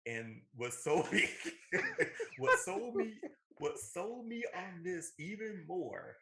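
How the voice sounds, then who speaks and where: A man's voice: sits high, huge pitch swings, slow pace, gravelly, a loud crowd. A man in his thirties with an American accent, giving emphatic, enthusiastic opinions as he casually discusses movies as a co-host. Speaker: co-host of a movie and video game show.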